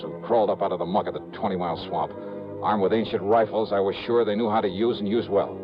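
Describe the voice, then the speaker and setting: An American actor playing a wry, hard-boiled investigator, narrating in a clipped, dramatic 1950s noir radio style. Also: male voice, low-pitched, lightly acted. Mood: grim, calculating. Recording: muffled old radio recording, music underneath.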